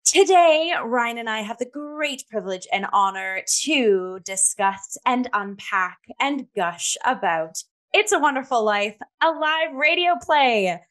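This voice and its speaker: A woman's voice: a little high, lively intonation, unhurried, few pauses, a bit bright. Woman chatting as a podcast co-host, playful and gushy, casual review talk. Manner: playful, gushing.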